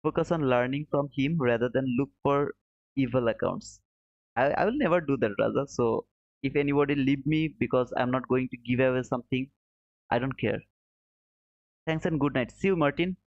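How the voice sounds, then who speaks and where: A man's voice: moderate pace, no room sound. A man from Bangladesh, hosting a casual trading livestream, reading viewer chat and calling viewers brother. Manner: friendly and chatty, calls viewers brother, says boom. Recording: dull, slightly muffled, dry.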